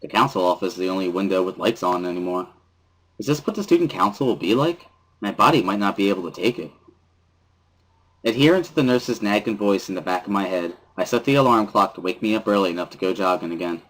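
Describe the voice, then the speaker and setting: A man's voice: deep voice, animated, fast, a bit bright, poor audio. A man giving an amateur first-person dramatic reading of a visual novel as its narrator. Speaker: amateur reader and narrator of a series. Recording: prominent background music under voice. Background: instrumental music.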